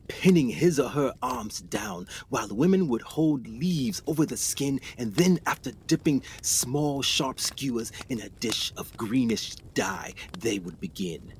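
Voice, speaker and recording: A man acting in a radio play as an earnest, excitable explorer doctor, speaking formally with dramatic pauses. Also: mid-range voice, a little hiss or hum.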